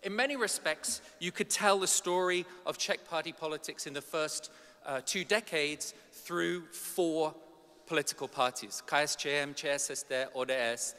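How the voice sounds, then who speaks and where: A middle-aged man delivers an academic lecture in a large reverberant hall. His voice is bright and sits a little high. He hesitates often, filling gaps with ums. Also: moderate pace, smooth. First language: English. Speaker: academic conference speaker. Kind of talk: conference lecture. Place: large ornate hall indoors. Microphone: gooseneck podium microphone.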